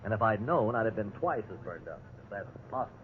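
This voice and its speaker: A man's voice: lowish voice, big pitch swings, fast. Aggrieved everyman in vintage radio drama. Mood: rueful narrating. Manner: earnest, aggrieved. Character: indignant, wrongly jailed ordinary man telling his story. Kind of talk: 1950s radio drama acting with first-person narration. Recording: tinny, noisy old radio recording.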